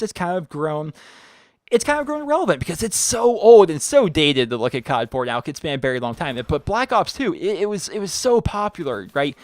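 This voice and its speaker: Young male gaming vlogger, hoarse from losing his voice, rambles fast, dry home recording. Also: fairly high pitch, no echo. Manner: rambling, filler-heavy, "like" after every few words. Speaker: YouTube gaming channel host. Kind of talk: casual chat answering viewer questions.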